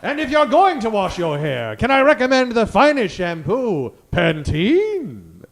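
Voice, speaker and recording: A man's voice: high-pitched, huge pitch swings, measured pace. A man narrating an old-time radio parody with theatrical announcer delivery.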